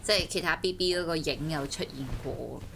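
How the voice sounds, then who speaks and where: A woman's voice: low-pitched, brisk, creaky, noisy background. A woman game streamer with a Hong Kong Cantonese accent, giving casual live commentary. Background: game music.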